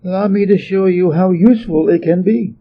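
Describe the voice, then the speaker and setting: A man's voice: high-pitched, fairly quick, muffled recording, a little hiss or hum, pitched above the performer's own voice. A man voicing a serene, courteous female deity in a storybook, speaking politely and formally. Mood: calm courteous.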